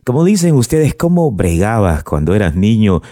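Deep-voiced interviewer, dry close sound. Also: male voice, sing-song, moderate pace, mellow, dry recording. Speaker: radio interviewer. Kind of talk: interview questions.

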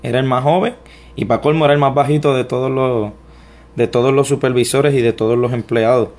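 A man's voice: mid-range voice, fairly even intonation, brisk, darkish tone. A Puerto Rican man in his young adult years recounts his life to an interviewer. His speech stumbles and restarts often. He pokes fun at himself as he goes. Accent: Puerto Rican Spanish. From Puerto Rico. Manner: hesitant, frequent restarts, self-deprecating. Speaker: interview guest. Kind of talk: personal testimony interview.

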